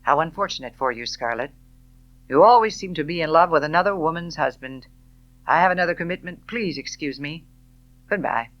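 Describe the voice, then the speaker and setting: A woman voicing a cool, suave gentleman in an audiobook, speaking with mocking, sardonic politeness. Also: low voice, lively intonation, brisk, dull audio. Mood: cool, dismissive. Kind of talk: audiobook character dialogue.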